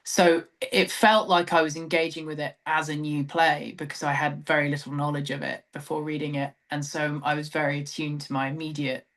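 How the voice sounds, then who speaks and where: A woman's voice: low-pitched, level tone, fairly quick, few pauses, a bit bright. Thoughtful British woman in conversation. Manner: thoughtful, hesitant, trailing phrases with frequent hedging. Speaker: theatre director, interview guest. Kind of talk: reflective interview conversation.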